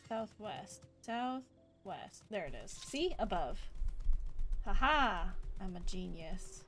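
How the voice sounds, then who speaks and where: A woman streaming a video game live, thinking aloud in casual, chatty commentary that turns excitable. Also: medium pitch, slow, soft background music.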